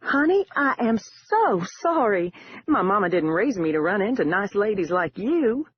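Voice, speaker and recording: A man's voice: sits high, sing-song, unhurried, husky, no echo, pitched above the performer's own voice, full character voice. A man voicing a gushing, sugary woman with a drawling Southern US accent in an audiobook. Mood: gushing, apologetic. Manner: drawling, effusive. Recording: dull lo-fi audio.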